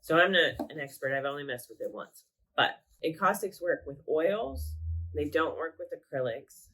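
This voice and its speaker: A woman's voice: low voice, lively intonation, normal speed, a bit bright, husky, poor audio. Congested, nasal woman chatting casually. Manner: chatty, rambling, self-correcting. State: sick, congested. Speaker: craft vlogger. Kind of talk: casual show-and-tell vlog. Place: at home.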